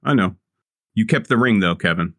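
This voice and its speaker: A man's voice: on the low side, lively intonation, normal speed, fairly bright tone, no echo, studio quality. A man playing his character in a tabletop game, talking bluntly and casually with some swearing. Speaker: player.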